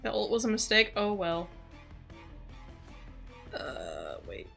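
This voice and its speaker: A woman's voice: mid-range voice, wildly swooping pitch, slow, gravelly, fairly bright tone. A woman live streaming a game, chatting casually and playfully, with faint music behind her.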